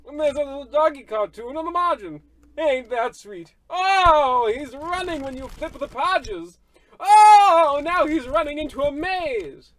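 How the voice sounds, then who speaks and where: Brash, headline-hungry newspaperman, male actor: very high, bright voice, excitable patter, radio comedy play. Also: measured pace, full character voice, low-quality recording. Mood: childlike delight. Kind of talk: old radio comedy acting.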